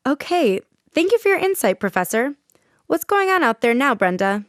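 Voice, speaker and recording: Woman acting animated high-pitched news anchor. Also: normal speed, dry recording, clean studio sound. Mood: attentive, curious. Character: eager, attentive female studio news anchor.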